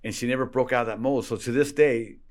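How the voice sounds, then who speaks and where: A man in casual conversation as a guest, telling stories in an animated way and acting out bits of dialogue. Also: mid-range voice, quick pace. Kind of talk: casual chat.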